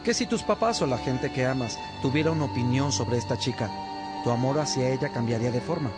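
An actor playing a diligent, respectful monk who works as a scribe in a religious radio drama, explaining things carefully. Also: male voice, mid-range voice, fairly quick, close to an everyday voice. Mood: helpful. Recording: faint music behind the voice.

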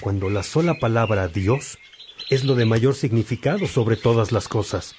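A man playing a calm, devout bishop. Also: medium pitch, noisy background, close to an everyday voice. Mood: earnest. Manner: calm, measured and instructive. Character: patient, devout male bishop and preacher. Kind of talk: radio drama acting.

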